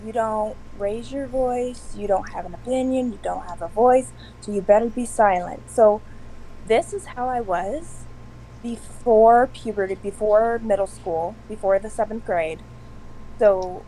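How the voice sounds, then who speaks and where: A woman with a soft voice chatting casually on a video call, laughing often as she tells stories. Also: fairly high pitch, slight background noise, rough recording. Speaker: stream host. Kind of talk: casual group chat.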